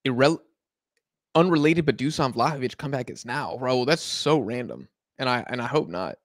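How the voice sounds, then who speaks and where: A man's voice: medium pitch, expressive, moderate pace, dry recording, clean studio sound. An American man casually hosting a live football watch-along from his couch at home, rambling and answering chat comments as they come in. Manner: laid-back, rambling, answers chat on the fly.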